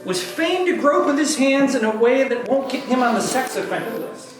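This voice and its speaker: A woman's voice: sits high, very animated, moderate pace. Theatrical woman narrator in an echoey room. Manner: theatrical, wry asides. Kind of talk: comic dramatic reading of a story. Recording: echoey room, distant mic, music behind.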